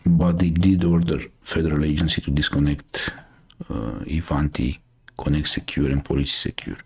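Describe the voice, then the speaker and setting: A Romanian man with a very deep, husky voice hosts a live news chat. He speaks English as a second language, thinking aloud and stopping and restarting with plenty of fillers. His tone stays casual and conversational. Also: fairly even intonation, moderate pace, darkish tone. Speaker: host.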